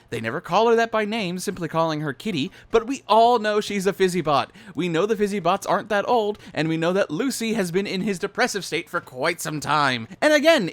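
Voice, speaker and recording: High-pitched, animated man ranting. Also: no echo. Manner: opinionated, casual, swearing. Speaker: co-host of a fan recap show. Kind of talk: animated fan commentary with ranting.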